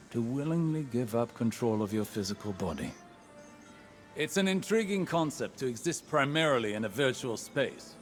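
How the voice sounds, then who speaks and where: An actor playing an erudite, curious older scholar in a video game, speaking in a measured, musing way. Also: male voice, mid-range voice, close to an everyday voice. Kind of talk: scripted video game dialogue.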